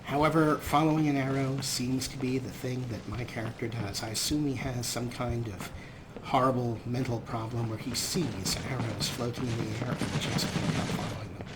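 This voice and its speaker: Man commentating a game over clear noise. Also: mid-range voice, steady tone, normal speed. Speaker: host of a video game let's play. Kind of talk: gameplay commentary. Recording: clearly noisy, game ambience underneath.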